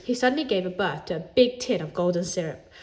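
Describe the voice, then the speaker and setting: A woman reading a children's book aloud in an animated way, as a storyteller who acts out the dialogue. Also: fairly low pitch, fast talker.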